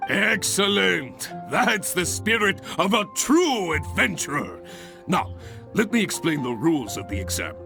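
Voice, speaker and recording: An actor playing a hearty, encouraging guild examiner in a video game, with a jovial, theatrical manner. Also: male voice, sits high, sing-song, unhurried, creaky, over music, heavily acted. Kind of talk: video game character acting.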